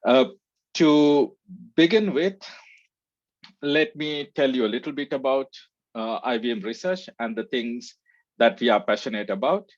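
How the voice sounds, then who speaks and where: A man's voice: medium pitch, unhurried, long pauses, dry recording, highs cut off. A man from Sri Lanka, a research scientist giving a conference talk, with many "uh" fillers. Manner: frequent "uh" fillers, relaxed. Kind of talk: conference presentation.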